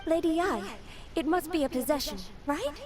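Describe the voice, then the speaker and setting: A woman's voice: high-pitched, animated, moderate pace, over music. An actress playing an earnest, worried young female sword student in a video game, anxious and hesitant.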